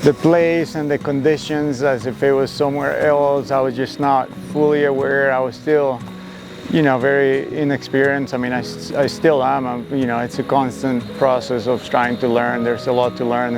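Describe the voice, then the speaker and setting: A man from Colombia, a paraglider pilot, telling his story in a reflective, measured documentary interview. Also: medium pitch, flat delivery, background noise.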